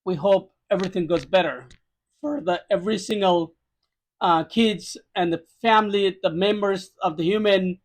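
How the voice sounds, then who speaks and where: A man's voice: high-pitched, normal speed, rough recording. A man from Nepal with a Nepali accent, giving a conversational first-hand account as a podcast guest in emphatic, repetitive non-native English phrasing.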